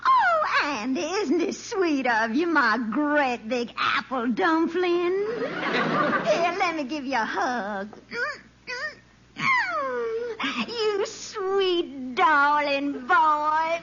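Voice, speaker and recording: An actress playing a gushing, doting fiancée with a fierce temper, in an exaggerated old radio sitcom style. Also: female voice, extremely high pitch, wildly swooping pitch, drawn-out words, full character voice. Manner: cooing, exaggerated. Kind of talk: 1940s radio sitcom acting. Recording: muffled, very noisy old radio recording.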